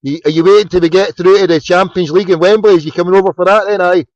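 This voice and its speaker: Scottish guy hosting a late night phone-in, talks fast and loose, swears a lot, dull lo-fi audio. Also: high voice, fairly even intonation, no echo. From Scotland. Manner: chatty, swearing, repeats words often. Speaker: phone-in host. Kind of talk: casual phone-in chat.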